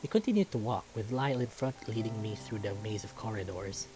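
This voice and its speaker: A man's voice: on the low side, fairly quick, over music. A man reading a visual novel aloud as storytelling narration, now and then stumbling over a word.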